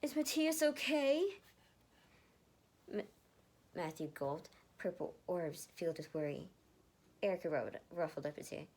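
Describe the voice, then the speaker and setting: A woman's voice: low voice, steady tone, moderate pace, warm tone, husky. A woman reading fan fiction aloud in an amateur way, stumbling over words and rereading them, with casual asides.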